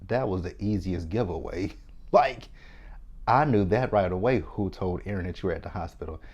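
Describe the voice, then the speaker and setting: Texan man, lower voice, sassy TV recap host, chatty and opinionated. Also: normal speed, a little mellow, lo-fi sound. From Texas. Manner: chatty, sassy, opinionated, talking straight to viewers. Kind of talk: casual TV episode recap.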